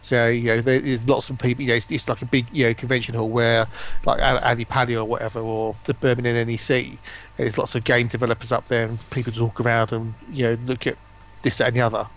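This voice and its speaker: A man with a mid-pitched voice talks informally on a stream. He speaks as a guest in loose, conversational phrases. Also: flat delivery, fast. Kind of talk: casual chat.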